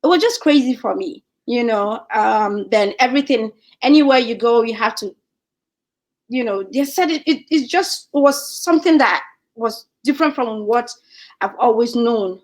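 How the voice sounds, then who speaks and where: A young woman from Nigeria with a Nigerian accent, chatting as a guest in an animated way and laughing often. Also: fairly high pitch, normal speed. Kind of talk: casual chat.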